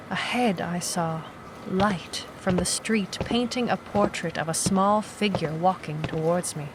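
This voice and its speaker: A woman's voice: lowish voice, background noise, close to an everyday voice. An actress playing a hardboiled young woman private eye, wry and businesslike, in a noir audio drama. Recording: music bed under the voice. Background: music.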